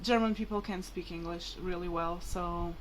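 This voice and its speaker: A woman's voice: lowish voice, steady tone, moderate pace, slight background noise, low-quality recording. A woman whose mother tongue is Portuguese, talking casually and hesitantly to viewers in a rambling, informal vlog. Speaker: vlogger.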